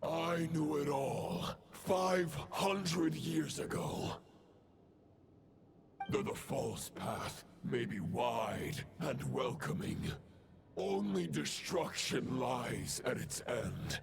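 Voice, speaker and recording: A man's voice: medium pitch, lively intonation, extremely slow, creaky, background noise, exaggerated voice. An actor playing a grave male villain swordsman sworn to the Abyss in a video game, speaking in lofty, threatening phrases. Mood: triumphant. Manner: solemn, lofty, threatening speech. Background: loud game music.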